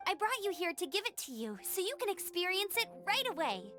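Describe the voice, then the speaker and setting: A perky young female knight guiding travelers in a video game. Her voice is very high and swings animatedly, earnest and helpful, over faint game music. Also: sing-song, a little fast, sharp tone, heavily acted. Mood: eager.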